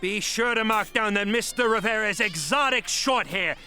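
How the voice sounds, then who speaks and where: A man's voice: sits high, normal speed, bright, forceful tone, slight background noise, full character voice. A man playing a prissy, easily offended cat show judge, formal and fussy, in a comedy audio drama.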